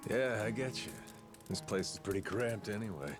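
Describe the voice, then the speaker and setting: An American male actor playing a gruff, blunt but honorable yakuza with no memory, talking in rough slang in a video game cutscene. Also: lowish voice, animated, moderate pace, natural voice. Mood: earnest, frank. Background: game music.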